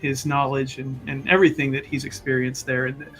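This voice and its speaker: A man's voice: mid-range voice, little pitch movement, brisk, a bit bright, soft background music, low-quality recording. A man chatting casually as a tabletop game player, rambling and joking as he introduces his character.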